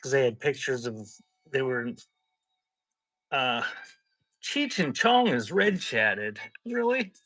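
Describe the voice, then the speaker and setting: A man's voice: medium pitch, big pitch swings, slow pace, long pauses, fairly bright tone, dry recording. A man hosting a live auction as the seller, talking in casual, rambling sales patter mixed with chatty stories. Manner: informal, rambling, conversational.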